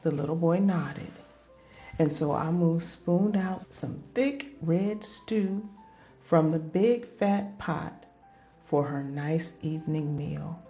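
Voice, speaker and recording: A woman's voice: deep voice, slow pace, warm tone, lo-fi sound, faint music behind. An older woman reading a picture book aloud expressively, in a grandmotherly manner, addressing young children and asking them questions.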